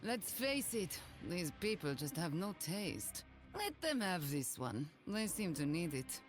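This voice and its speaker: A brash female gunslinger for a video game, with a low, swinging delivery. She is cocky and teasing, with a slight foreign accent, over faint music. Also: sing-song, unhurried. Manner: cocky, teasing, self-assured. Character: brash, daring female mercenary gunslinger, sassy thrill-seeker. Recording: music behind the voice.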